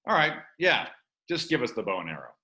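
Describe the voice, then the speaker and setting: A man acting a genial, casually boastful boss god in a comedy table read, talking in a chummy, halting way. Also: medium pitch, huge pitch swings, pushed and bright, heavily acted. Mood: mildly threatening.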